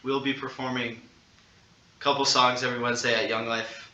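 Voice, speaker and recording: A teenage male high school senior chatting casually as a podcast co-host. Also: flat delivery, fairly quick, sharp tone. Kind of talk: casual chat. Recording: echoey, distant mic with background noise.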